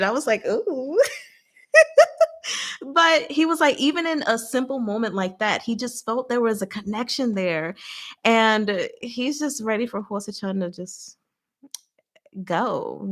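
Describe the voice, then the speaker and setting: A woman hosting a casual live book discussion, retelling the plot in an animated, giggly, informal way with acted-out asides. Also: medium pitch. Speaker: host of a livestream book discussion. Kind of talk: casual chatty live story recap with commentary.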